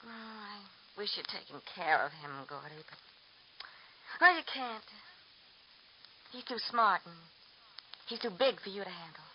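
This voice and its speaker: A woman's voice: mid-range voice, measured pace, pauses often, close to an everyday voice. An actress playing a tipsy, sly nightclub woman in a 1940s radio drama, teasing and wheedling with giggles. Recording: dull old radio transcription with faint hiss.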